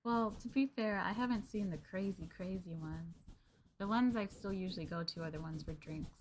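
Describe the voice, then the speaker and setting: A young woman streamer chatting gently and sweetly with her viewers in a maid roleplay, calling them master. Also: mid-range voice, moderate pace, a little hiss or hum, poor audio.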